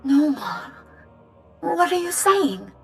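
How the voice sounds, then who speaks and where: A passionate young noblewoman from a space fantasy game. Her voice is very high and swings widely, with slow, stretched words. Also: very animated, creaky, fairly bright tone, soft background music, heavily acted. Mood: alarmed. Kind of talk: video game voice acting.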